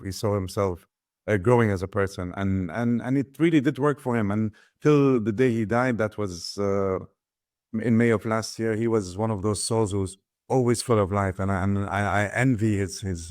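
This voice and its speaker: A man's voice: fairly low pitch, no echo, clean studio sound. A middle-aged man from Ghana, a guest telling his life story in a thoughtful way, often restarting and repeating his words. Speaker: guest, business founder and author. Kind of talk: reflective personal storytelling.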